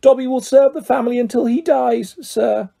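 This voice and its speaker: Small servile house elf, very high and squeaky, fawning and earnest, husky edge, for a storybook. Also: male voice, fairly even intonation, normal speed, mellow, heavily acted. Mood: anxious, servile. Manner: speaks of self in third person, fawning.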